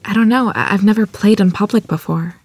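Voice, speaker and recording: Woman as a polite rural mother, slightly husky, self-doubting, hesitant, table-read drama, dry close sound. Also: mid-range voice, brisk, no echo. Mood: shy, doubtful. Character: modest, hesitant rural wife and mother, gifted pianist.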